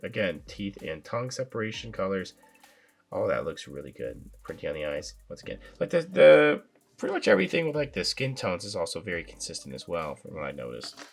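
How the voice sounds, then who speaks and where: A man hosting a casual toy review, his voice raspy from a sinus infection, talking in a relaxed, rambling way with filler words. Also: low voice, fairly quick, faint music behind. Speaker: toy reviewer, channel host.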